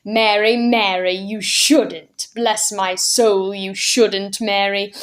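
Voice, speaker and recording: A woman voicing a jolly, eccentric older gentleman who sounds merry and bubbly. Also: mid-range voice, little pitch movement, slow pace, natural voice. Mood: giggly.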